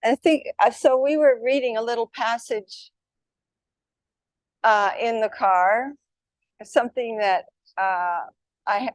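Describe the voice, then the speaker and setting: A soft-voiced woman guest speaker on a handheld mic, talking casually with fillers and restarts. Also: on the high side, slow pace, pauses often, no room sound.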